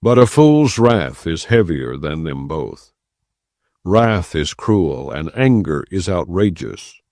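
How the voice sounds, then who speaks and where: Deep-voiced man solemnly reading scripture. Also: sing-song, darkish tone, no room sound, clean studio sound. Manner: solemn, measured delivery. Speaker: audiobook narrator. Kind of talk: non-dramatized scripture reading.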